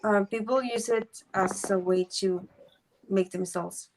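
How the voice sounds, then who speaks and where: A woman from Peru, speaking English as a guest in a casual podcast conversation and pausing often with fillers. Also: medium pitch, steady tone, a little hiss or hum, poor audio. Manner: hesitant, many fillers.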